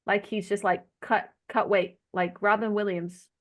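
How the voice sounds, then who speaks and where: A woman's voice: mid-range voice, little pitch movement, unhurried. Chatty woman with Arkansas-Jersey accent. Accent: blend of Arkansas Southern and New Jersey. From Arkansas, United States. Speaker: host of an online group chat. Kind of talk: casual group video chat. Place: video call.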